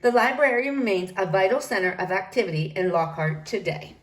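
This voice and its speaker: A woman reading a children's book aloud, narrating in an expressive storytelling manner. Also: medium pitch, a little fast. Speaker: storybook reader.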